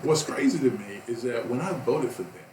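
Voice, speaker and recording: A man's voice: mid-range voice, animated, brisk, a little mellow. Passionate fifty-year-old man on talk radio. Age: 50s. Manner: passionate, emphatic, rising to outbursts. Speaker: radio show co-host. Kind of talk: heated talk radio discussion. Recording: roomy, slightly distant, faint background noise.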